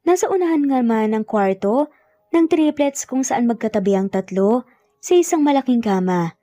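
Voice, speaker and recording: A woman's voice: fairly high pitch, animated, normal speed, warm tone, no echo. A woman with a Filipino accent reading a scripted romance story as narrator. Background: background music.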